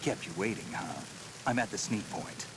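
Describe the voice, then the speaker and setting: An actor playing a gruff middle-aged soldier on a video game radio call, speaking in a terse, dry, understated way. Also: male voice, on the low side, lively intonation, moderate pace, background noise, lightly acted.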